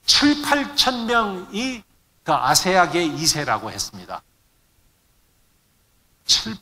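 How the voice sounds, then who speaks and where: A middle-aged man preaches in a forceful, bright voice pitched a little high. He pauses to hunt for words and drops in English terms as he addresses the congregation. Long reverb from a big hall trails behind his speech. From Korean immigrant family in the United States. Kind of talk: sermon to a congregation.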